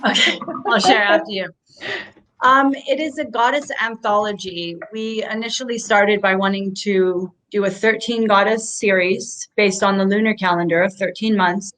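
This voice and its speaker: A woman's voice: medium pitch, moderate pace, fairly bright tone, poor audio. A woman who grew up in Japan, a comic writer speaking in an interview, thoughtful and explaining with frequent ums. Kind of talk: casual interview.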